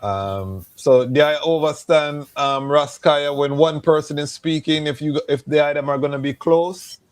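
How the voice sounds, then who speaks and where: A man's voice: fairly high pitch, a little slow, dry recording. A man hosting a live online show, who talks informally and conversationally, with frequent fillers. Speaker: host. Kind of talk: casual live interview.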